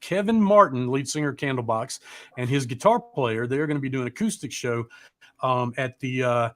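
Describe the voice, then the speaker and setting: A man's voice: medium pitch, moderate pace, a bit bright. A man hosting a live show in casual, rambling chat, mildly profane and often losing his train of thought.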